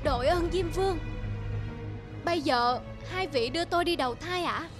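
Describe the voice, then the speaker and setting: Woman playing a high-voiced blunt village man. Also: moderate pace, over music. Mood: curious, respectful. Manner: frank, skeptical. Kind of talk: cartoon folktale dialogue.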